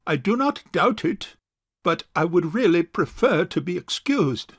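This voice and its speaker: A male actor plays a fussy, reluctant rich bachelor uncle in an audio farce. He speaks slowly and formally, with pompous, measured phrasing. The recording is close and dry. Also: high voice, very animated, slightly rough, no echo, heavily acted. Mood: polite, evasive. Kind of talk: stage farce audio drama acting.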